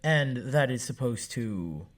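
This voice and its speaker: A man playing a naive newborn android son in an audio drama, speaking in a halting, literal, innocently questioning way. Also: mid-range voice, lively intonation, recorded from a distance. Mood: calm, puzzled.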